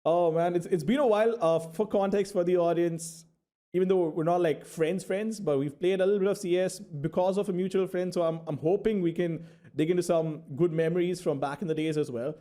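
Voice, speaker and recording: A man hosting an interview show, chatty and quick, mixing Hindi and English as he introduces his guest. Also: high voice, steady tone, dark tone. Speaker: interview host.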